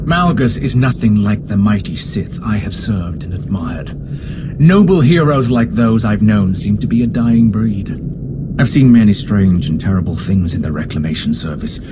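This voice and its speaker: An actor playing a proud, bitter Imperial officer in a video game, with a formal, sardonic, theatrical delivery. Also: male voice, lowish voice, background noise. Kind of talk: scripted video game acting. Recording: music behind the voice.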